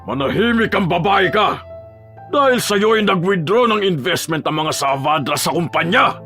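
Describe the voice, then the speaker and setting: A man's voice: high voice, expressive, normal speed, pushed and bright, soft background music. An actor playing a cruel, greedy old father, harsh and threatening, in an audio drama. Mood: furious.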